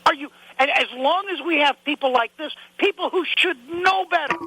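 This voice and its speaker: A man's voice: very high voice, moderate pace, sharp tone, slight background noise, rough recording. A man calling in to a talk show, indignant and rambling, with a stuffy nose and a cough. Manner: indignant, rambling, repeats himself. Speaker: caller. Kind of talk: call-in chat.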